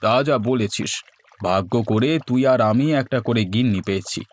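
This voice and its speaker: A man's voice: mid-range voice, normal speed, close to an everyday voice. A man playing a hardworking, friendly village fisherman and father in a cartoon story. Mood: agreeable, earnest. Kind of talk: Bengali cartoon story dialogue.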